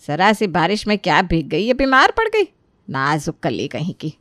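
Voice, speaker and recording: An actress playing a grumbling, scheming middle-aged mother-in-law in an animated moral story, scolding and sarcastic. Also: lowish voice, moderate pace, no echo, clean studio sound.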